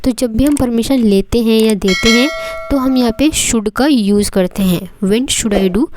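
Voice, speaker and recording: Slow, deliberate Indian woman teacher. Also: fairly high pitch, a little mellow, dry recording. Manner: repeats each phrase, says English examples very slowly and deliberately. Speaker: English teacher. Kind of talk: Hindi-language English lesson with drilled phrases.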